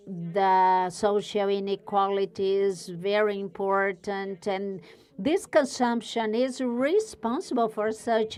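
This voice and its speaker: Woman, European Portuguese accent, researcher at a conference podium, smooth voice, unhurried delivery. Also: mid-range voice, a little mellow. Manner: careful, searching for words. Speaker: guest speaker, university researcher. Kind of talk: conference presentation.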